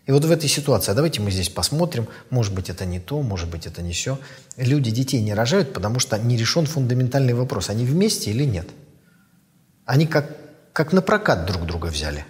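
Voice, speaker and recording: A man in his fifties, an author, giving thoughtful interview answers in a measured way with pauses and fillers. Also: medium pitch, sing-song, big-room sound. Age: 50s.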